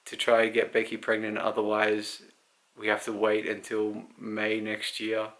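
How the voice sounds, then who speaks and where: A man's voice: lowish voice, monotone, unhurried, a bit bright, poor audio. A man in his thirties giving a casual, unscripted personal update, candid and rambling as he thinks aloud. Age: 30s. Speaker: vlogger.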